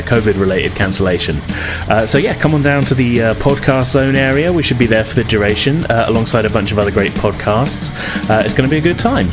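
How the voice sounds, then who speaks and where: A man hosting a podcast and narrating as game master, relaxed and full of "uh" fillers. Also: mid-range voice.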